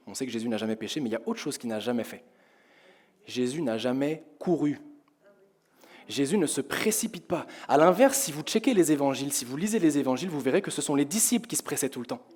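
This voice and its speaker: A young man from France preaching to his congregation in a church hall, his voice hoarse, talking in a conversational way and asking the congregation questions. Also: fairly high pitch, very animated, fast talker, a little mellow. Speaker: pastor. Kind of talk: sermon. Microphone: headset with in-ear monitor.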